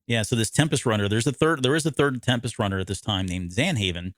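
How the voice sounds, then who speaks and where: A man co-hosting a podcast, recapping a story in casual, halting conversation and often correcting himself. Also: lowish voice, fairly bright tone, no room sound, studio quality. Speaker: podcast co-host. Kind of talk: casual discussion recapping an audio drama.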